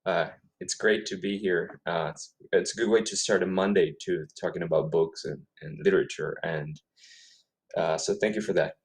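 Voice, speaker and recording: Middle-aged male author from Ecuador, native Spanish, lowish voice, musing and self-correcting in conversation. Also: fairly even intonation, normal speed. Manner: thoughtful, self-correcting, repeats words. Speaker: author guest. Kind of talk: casual interview.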